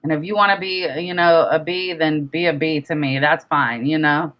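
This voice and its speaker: A woman's voice: sits low, steady tone, normal speed. A young woman chatting casually as an interview guest, speaking candidly and repeating phrases for emphasis. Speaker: guest, independent music artist. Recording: dull, lo-fi sound.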